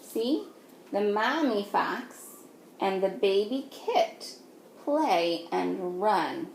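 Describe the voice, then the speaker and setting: A woman teacher reading a picture book aloud to young children, patiently, in a sing-song way, pausing to ask them simple questions. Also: mid-range voice, lively intonation, creaky. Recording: echoey room with faint noise.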